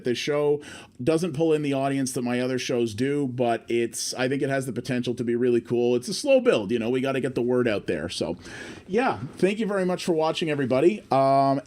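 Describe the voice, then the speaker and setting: A man's voice: mid-range voice, darkish tone. A male streamer talks to his viewers in a casual, unscripted way, with a mid-range voice. He hosts an indie game show and tends to hedge and rephrase his points as he works through them. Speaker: host of an indie game livestream. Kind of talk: casual unscripted livestream commentary.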